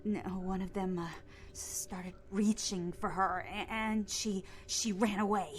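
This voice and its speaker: A woman's voice: mid-range voice, fairly even intonation, a little slow, slight background noise, natural voice. An actress playing a frightened, confused young female cult recruit in a video game, stammering and hesitant, trailing off into whispers. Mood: fearful. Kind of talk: video game dialogue acting.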